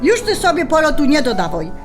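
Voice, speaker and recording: High-pitched, bossy highlander mother. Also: big pitch swings, fairly quick, over music. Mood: bossy nagging. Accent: Polish highlander dialect. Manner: scolding, insistent. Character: bossy, nagging highlander mother fussing over her household. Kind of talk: audio drama acting.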